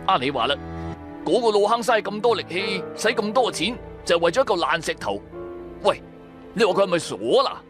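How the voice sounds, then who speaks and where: A man playing an excitable, whiny, pestering young male sidekick in a radio drama, exclaiming and complaining. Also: high voice, a little fast, music in the background. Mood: pestering, impatient. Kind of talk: Cantonese radio drama acting.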